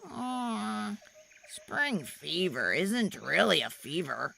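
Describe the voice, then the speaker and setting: A woman voicing a slow, calmly concerned water buffalo in a storybook, gravelly and deliberate. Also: lowish voice, a little hiss or hum, full character voice.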